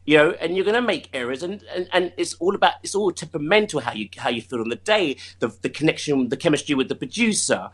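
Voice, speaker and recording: A man's voice: a little high, lively intonation, quick pace, hardly any pauses. A man talking casually as an interview guest, rambling and repeating himself, laughing often. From United Kingdom.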